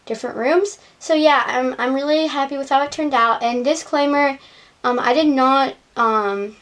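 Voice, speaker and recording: A woman's voice: high-pitched, moderate pace, a bit bright. A young girl with an American accent, chatting casually and informally as she narrates a home vlog tour. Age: young, child or teenager. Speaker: doll vlogger giving a house tour. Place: indoor room at home, behind the camera.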